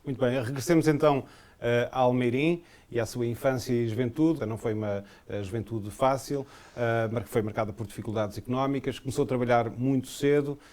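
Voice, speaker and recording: A man hosting a TV interview, asking formal, measured questions. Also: medium pitch, a little mellow.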